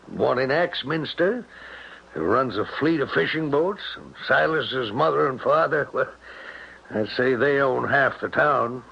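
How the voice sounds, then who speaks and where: An actor playing a worried, folksy elderly small-town father in an old-time radio drama, with a creaky, rambling, homespun way of talking. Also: mid-range voice, exaggerated voice. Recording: noisy, muffled 1970s radio broadcast.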